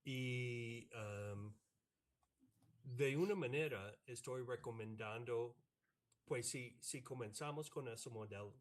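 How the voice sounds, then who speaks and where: An American man, a professor and native English speaker, answering in a panel over a video call, halting with many fillers and restarts. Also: medium pitch. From United States. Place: online video meeting. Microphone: computer mic on a video call.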